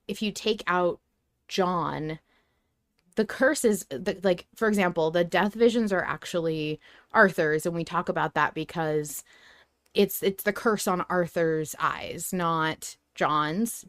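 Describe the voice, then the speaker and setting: Female podcast host, slightly low register, very dry sound, conversational and halting as she thinks. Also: fairly bright tone, no echo. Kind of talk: casual podcast discussion.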